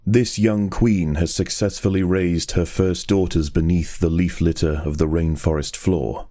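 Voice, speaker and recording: A man playing a solemn nature documentary narrator in a video game, measured, grave and formal. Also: sits low, fairly even intonation, dark tone.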